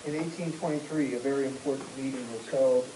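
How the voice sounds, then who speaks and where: Man, mellow tone, steady reader in an echoey room with light hiss. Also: medium pitch, a little fast. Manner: even, steady reading, defers politely. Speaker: reader for the speaker. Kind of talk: reading a text aloud.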